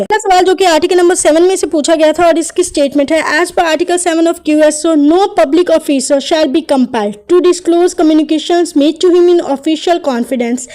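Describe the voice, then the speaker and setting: A woman, tutoring online and reading out law exam questions, options and answers in a slow, deliberate way. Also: high-pitched, a little mellow. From Pakistan. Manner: slow, deliberate reading of legal text, brisker between questions.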